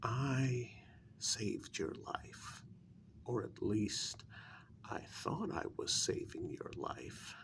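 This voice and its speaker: A man playing a menacing, sardonic kidnapper in a soft-spoken ASMR role-play, gravelly and often slipping into whispers, speaking in a halting, deliberate way. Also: mid-range voice, expressive, exaggerated voice. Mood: aggrieved, simmering. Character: menacing, sardonic male kidnapper interrogating his captive.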